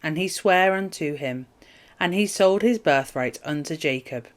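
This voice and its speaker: A woman reading scripture aloud as formal narration, in measured, solemn phrases. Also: sits low, animated. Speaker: Bible reader.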